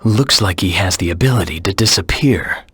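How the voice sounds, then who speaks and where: A husky, low, brooding video game anti-hero. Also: male voice, fairly bright tone, dry recording, exaggerated voice. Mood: calculating. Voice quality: husky, gravelly. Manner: terse, serious, deliberate self-talk. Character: Shadow, brooding male anti-hero hedgehog, cold and determined. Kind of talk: video game character voice lines.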